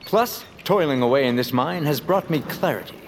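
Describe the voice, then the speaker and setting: An actor playing a courteous, formal, long-winded former radio personality in a scripted audio drama. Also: male voice, fairly high pitch, expressive, moderate pace, slight background noise. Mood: courteous, resigned. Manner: wordy, formal, theatrical. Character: verbose, courteous fired radio personality now toiling as miner.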